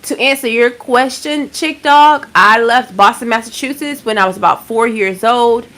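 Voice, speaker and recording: A woman in her thirties from Georgia runs a relaxed morning livestream, chatting easily with her viewers. Her voice is bright and forward, and her delivery is loose and friendly, with casual cursing and a string of shout-outs. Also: a little high, normal speed. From Georgia, USA. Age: 30s. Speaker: livestream host.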